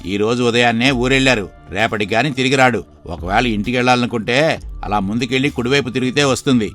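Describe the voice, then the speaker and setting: A man's voice: mid-range voice, quick pace, a bit bright, soft background music, lightly acted. A man playing a polite, helpful village passer-by in a moral story. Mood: matter-of-fact.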